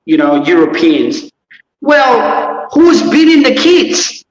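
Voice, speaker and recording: A man's voice: extremely high pitch, big pitch swings, slow. Earnest male guest, repeats himself, distant echoey call audio with long reverb. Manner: repetitive, earnest, restarts often. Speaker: livestream guest. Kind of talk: casual livestream discussion. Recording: echoey remote call from far off.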